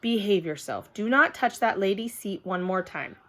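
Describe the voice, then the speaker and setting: A woman's voice: medium pitch, lively intonation, normal speed, pushed and bright. A woman voicing a polite, controlled mother who is embarrassed yet firm, in a children's storybook. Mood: stern. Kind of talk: children's storybook character reading.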